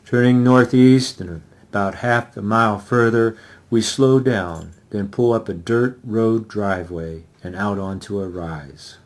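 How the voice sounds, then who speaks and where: A man's voice: lowish voice, a little slow. A man, the author, giving a dramatic reading of his first-person novel.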